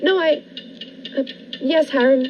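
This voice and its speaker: A woman's voice: high voice, measured pace. A woman playing a frightened, fretful captive in a 1940s-style radio thriller, stammering and pleading in melodramatic fashion. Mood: flustered. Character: frightened, fretful woman trapped by a menacing man. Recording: dull lo-fi radio play recording with music.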